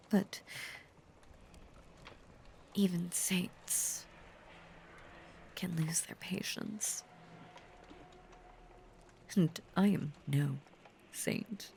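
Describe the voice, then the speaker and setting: A woman voicing an obsessive, possessive female werewolf lover in an intimate audio roleplay, breathy and often whispering, with a halting, intense, confiding delivery that is tender yet menacing. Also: on the low side, darkish tone, a little hiss or hum. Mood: patient, ominous.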